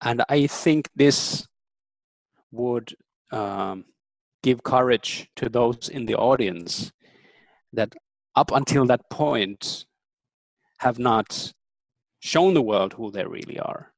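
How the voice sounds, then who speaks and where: A man in his thirties, a native German speaker, giving earnest, thoughtful interview answers with frequent pauses and ums. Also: medium pitch, gravelly, no echo, low-quality recording. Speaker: interview guest.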